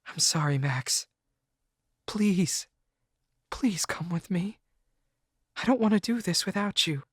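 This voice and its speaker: Male reader voicing a tearful, begging young woman; lift the pitch high, keep it trembling. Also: expressive, slow pace, breathy edge, dry recording, studio quality, exaggerated voice. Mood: pleading. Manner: pleading, shaky. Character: distraught pleading young woman.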